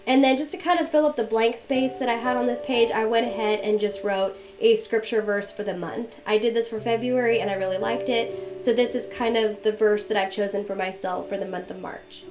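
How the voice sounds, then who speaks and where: A woman's voice: a little high, fairly even intonation, fairly quick, few pauses. A young woman narrating a journal-planning voice-over in a chatty, friendly way while she gets over a cold. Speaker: bullet journal vlogger. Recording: dull lo-fi voice-over with music behind.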